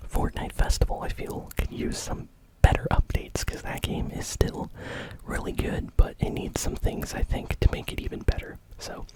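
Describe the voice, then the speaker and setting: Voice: normal speed, hardly any pauses, rough recording. Whispered ASMR gaming news host. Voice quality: breathy unvoiced whisper.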